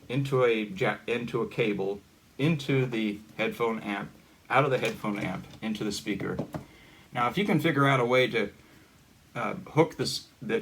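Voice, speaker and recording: Low-voiced man explaining, halting and informal. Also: low-quality recording. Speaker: hobbyist demonstrator. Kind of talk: casual hands-on gadget demonstration. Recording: steady background noise.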